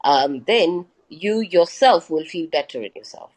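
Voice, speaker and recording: A woman's voice: on the low side, animated, measured pace. A woman doctor and lecturer, a guest in a live interview, explaining things patiently with many hesitant fillers. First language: not Hindi.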